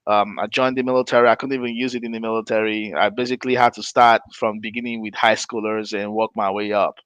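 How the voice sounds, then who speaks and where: A man's voice: on the low side, level tone, fast talker, a bit bright, clear, resonant tone, no echo, dull audio. A middle-aged man from Nigeria, hosting a podcast and telling his own story in a loose, rambling way, often correcting himself mid-sentence. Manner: rambling, self-correcting, laughs between points. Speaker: podcast host. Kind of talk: casual storytelling chat.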